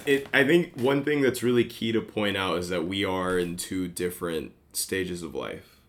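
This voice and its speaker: A man's voice: low voice, moderate pace. A man in his late twenties, a podcast guest, talking in a hesitant, rambling way full of restarts and filler words. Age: late 20s. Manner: hesitant, lots of "like" and "you know", restarts. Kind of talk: casual chat.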